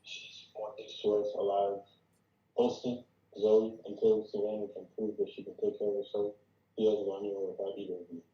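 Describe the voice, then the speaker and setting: A man's voice: fairly low pitch, level tone, moderate pace, natural voice. A man acting the candid boss of a covert agency at a screenplay table read. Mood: firm, candid. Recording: muffled, echoey room recording.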